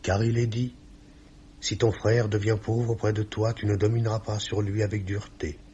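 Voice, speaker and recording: An actor playing a pious, solemn old office chief who forever quotes scripture, in 1950s radio drama style. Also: male voice, fairly low pitch, level tone, moderate pace, dark tone, close to an everyday voice. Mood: solemn, paternal. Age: older. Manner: sententious, solemn, preachy. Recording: dull, noisy old radio recording.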